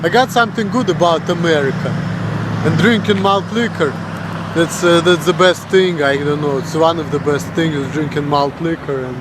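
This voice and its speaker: Young man, native Russian speaker, vlogger, rambling casual rant, fairly high voice, noisy recording with traffic behind. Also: moderate pace. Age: 20s. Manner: rambling, frequent fillers and restarts.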